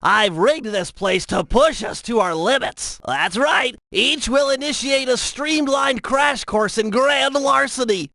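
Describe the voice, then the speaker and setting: A man's voice: extremely high pitch, animated, measured pace, gravelly, hardly any pauses, pushed and bright, no room sound, full character voice. A man voicing a brainy, fussy turtle mission planner in a video game, nasal and precise, explaining things in wordy technical terms. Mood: businesslike, focused. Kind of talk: video game tutorial voice acting.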